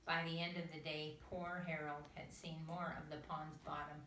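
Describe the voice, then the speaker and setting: An older woman, a grandmother, reading a children's story aloud in an expressive, deliberate manner. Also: sits low. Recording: roomy, distant mic, faint noise.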